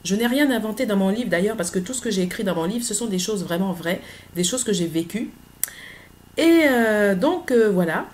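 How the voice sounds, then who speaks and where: Earnest woman, halting speech. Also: medium pitch, lively intonation, a little mellow, a little hiss or hum. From Côte d'Ivoire. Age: middle-aged. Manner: earnest, hesitant, many filler pauses. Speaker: author. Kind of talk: personal vlog monologue.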